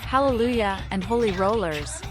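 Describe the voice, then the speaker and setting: A female voice , an actress's, for a mad vampire heroine in a video game. She is eerily playful and cryptic, with theatrical, archaic riddles. Her pitch is middling and music plays loudly behind. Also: animated, normal speed, lightly acted. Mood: questioning, cryptic. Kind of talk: video game protagonist dialogue, fan-voiced.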